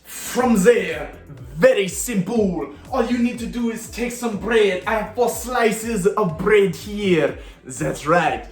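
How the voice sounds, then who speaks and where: A man's voice: high voice, lively intonation, slow. A man playing a hammy mock-French chef. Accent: put-on French. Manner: playful, hammy, gropes for words. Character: jolly mock-French chef cooking on a kids' show. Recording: roomy kitchen echo, faint music.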